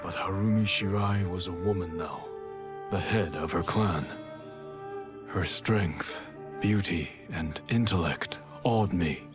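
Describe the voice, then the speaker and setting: Deep-voiced, solemn clan leader. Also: male voice, slow, music in the background. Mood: solemn, resolute. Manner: formal, restrained. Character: solemn, honourable male clan leader and martial-arts warrior. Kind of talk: scripted video game ending narration.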